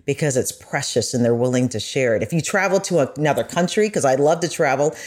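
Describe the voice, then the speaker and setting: Female guest, deep register. Reflective, sincere, enthusiastic conversational delivery. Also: fairly quick, darkish tone, slightly rough. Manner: earnest, enthusiastic. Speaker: podcast guest, journalist. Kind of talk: casual reflective discussion.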